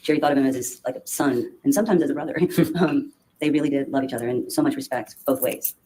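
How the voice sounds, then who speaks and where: A woman testifying as a witness in court, speaking haltingly with many restarts and fillers. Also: rumbling low, dark tone, slight background noise, rough recording. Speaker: trial witness.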